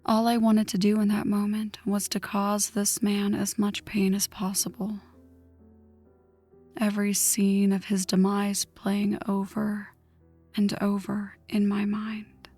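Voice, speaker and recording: Slow, hushed vampire woman, acted by a woman. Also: mid-range voice, monotone. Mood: tense, vengeful. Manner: hushed, breathy, slow dramatic delivery. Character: newly turned vampire woman, vengeful, seething. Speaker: audio roleplay narrator. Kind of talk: ASMR scripted first-person story narration. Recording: music under the voice. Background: background music.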